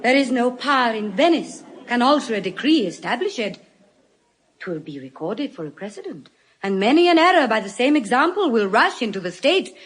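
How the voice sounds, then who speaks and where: An actress playing an eloquent, solemn young lawyer in an audio Shakespeare drama, measured and earnest, often dropping to hushed emphasis. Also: female voice, fairly high pitch, sing-song, slightly rough, rough recording. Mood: firm, grave. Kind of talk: classical stage acting in audio Shakespeare.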